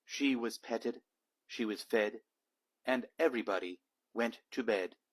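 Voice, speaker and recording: Male read-aloud storyteller, a dad: husky edge, mid pitch, unhurried rhythmic phrasing for children's books. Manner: measured, rhythmic rhyming delivery. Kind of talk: children's picture book narration.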